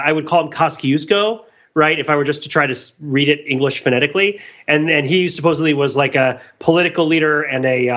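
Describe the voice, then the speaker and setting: Higher-pitched man rambling on a dull-sounding podcast. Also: a bit bright. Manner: discursive, digressive, hesitant searching for words. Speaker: podcast co-host. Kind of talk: casual rambling podcast discussion.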